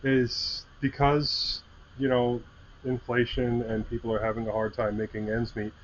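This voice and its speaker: A man from Iowa co-hosting a podcast, telling stories in a measured, dry way. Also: mid-range voice, completely flat delivery, dull audio, a little hiss or hum. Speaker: podcast co-host. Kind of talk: casual chat.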